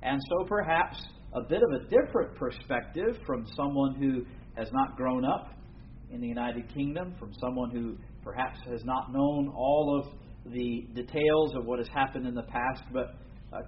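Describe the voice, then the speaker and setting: A man from the United States preaching a sermon to an evening gathering, earnest and formal, pausing often with fillers. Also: mid-range voice. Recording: dull lo-fi recording with faint room noise.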